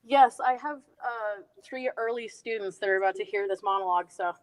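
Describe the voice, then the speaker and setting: A woman's voice: medium pitch, brisk, poor audio. A woman who teaches and is auditioning, chatting casually and politely on a live stream. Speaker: auditioning performer and acting instructor.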